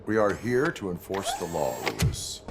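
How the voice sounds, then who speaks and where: An actor playing a stern male cyborg police officer who speaks in flat, clipped, formal lines. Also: sits low, normal speed, noisy background. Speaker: game character.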